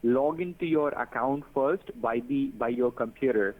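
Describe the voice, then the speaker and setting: Man, mid pitch, insistent, repeats himself, broken phrasing, tinny phone line. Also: moderate pace. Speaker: phone scammer. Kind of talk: scam phone call.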